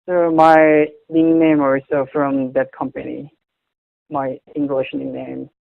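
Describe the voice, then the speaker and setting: Young man, Korean accent, non-native English, slow and hesitant, dry tinny line. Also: medium pitch, level tone, vocal fry, no room sound. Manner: halting, searching for words, frequent restarts. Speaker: interviewee. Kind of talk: casual conversation in English. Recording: tinny phone-like audio.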